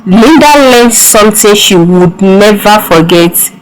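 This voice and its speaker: Slow-paced woman narrating a solemn folktale. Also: medium pitch, expressive, clean tone, low-quality recording. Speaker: storyteller.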